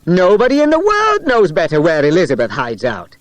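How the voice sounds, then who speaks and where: A man voicing a bold, excitable schoolboy sleuth in a children's audiobook, eager and exclamatory. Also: a little high, fairly quick, dry recording, pitched above the performer's own voice. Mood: amazed.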